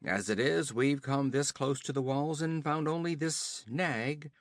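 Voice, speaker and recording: A man voicing a hard, boastful outlaw chief who gives orders in a raised, loud voice. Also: medium pitch, measured pace, no room sound, clean studio sound, pitched above the performer's own voice, natural voice. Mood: swaggering. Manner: boastful, commanding.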